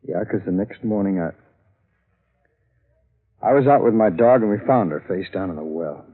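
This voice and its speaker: A jittery photographer with a past stammers and defends himself. His voice sits a bit low. It all comes through a thin, phone-like old radio broadcast. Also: animated, normal speed. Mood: nervous explaining. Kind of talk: 1950s radio drama acting.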